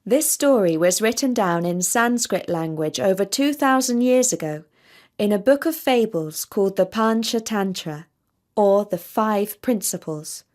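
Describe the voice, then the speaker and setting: A woman storyteller narrating a scripted children's tale in measured, deliberate phrases. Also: medium pitch, lively intonation, darkish tone.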